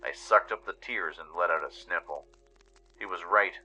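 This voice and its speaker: Casting: male narrator, lowish but very bright, punchy voice, dramatic scripted storytelling with music underneath. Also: moderate pace, breathy edge, low-quality recording. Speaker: narrator and radio show host.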